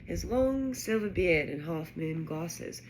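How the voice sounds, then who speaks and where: A woman's voice: on the low side, lively intonation, slow. Woman reading a storybook aloud, faint noise. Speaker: audiobook-style reader. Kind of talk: expressive storybook narration.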